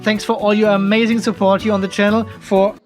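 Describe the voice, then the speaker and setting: Man, high-pitched voice, casual vlog host, talks things through aloud, restarts often. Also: flat delivery, fairly quick, soft background music. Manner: chatty, thinks aloud, restarts and self-corrections. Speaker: channel host reviewing a home battery.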